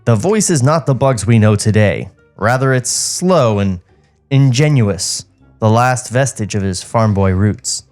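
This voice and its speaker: A male podcast co-host reading a script in a studio, with a medium-pitched voice. He moves between narration and casual chat, over background music. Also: expressive, a little slow, a little mellow.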